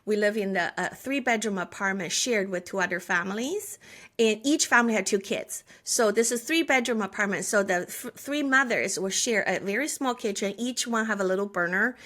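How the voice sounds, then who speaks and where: Woman, Chinese accent, forties, interview guest, earnest storytelling, frequent restarts, middle pitch. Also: moderate pace, a bit bright. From China. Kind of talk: conversational interview.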